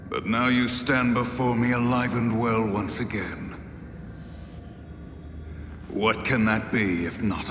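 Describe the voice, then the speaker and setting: A man playing a cold, menacing judge in a video game, speaking in a deliberate, solemn way. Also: medium pitch, a bit bright. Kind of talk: video game cutscene acting. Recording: very echoey hall, faint noise.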